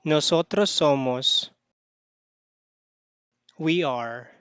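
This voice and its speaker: A Spanish teacher's voice opening a lesson and reciting verb forms in a slow, measured way. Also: mid-range voice, a bit bright, clear, resonant tone, no echo. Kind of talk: lesson introduction and conjugation drill. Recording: slightly dull lo-fi audio.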